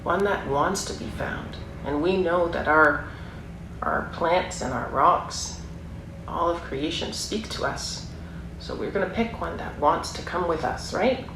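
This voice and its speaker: A woman with a slightly low voice reads a story aloud for young children. She speaks in an encouraging way, mixing the book's text with chatty explanations and questions. The recording is echoey, with noticeable background noise. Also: measured pace. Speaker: storyteller reading a children's picture book aloud. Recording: roomy echo, clear background noise.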